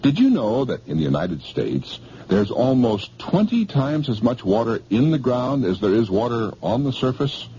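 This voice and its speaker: A man reading a folksy radio commercial in a chatty pitchman manner, with a husky voice. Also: sing-song, moderate pace, hardly any pauses, mellow. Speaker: commercial announcer. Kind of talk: scripted radio advertisement. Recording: dull lo-fi vintage radio.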